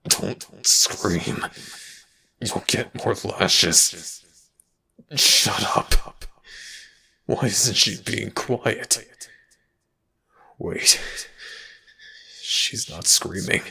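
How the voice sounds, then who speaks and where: A man playing an ancient, protective god in an intimate ASMR audio roleplay, speaking in a halting, intense way with a gravelly, breathy voice. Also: sits low, bright, forceful tone, heavily acted. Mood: distressed, disbelieving. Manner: halting, drawn-out, intense delivery.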